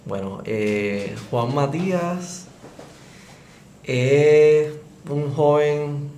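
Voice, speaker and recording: A man's voice: a little high, very slow, warm tone. A man with a Puerto Rican accent, an epidemiologist guest introducing himself formally and tagging phrases with "¿verdad?". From Puerto Rico. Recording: echoey room, faint noise.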